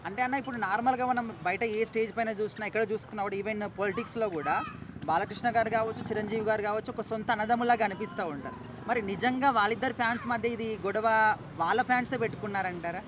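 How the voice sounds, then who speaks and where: A man's voice: sits high, quick pace. A man, working as a street interviewer gathering public reactions. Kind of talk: vox-pop interview. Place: outdoors in an urban street. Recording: clear outdoor street noise.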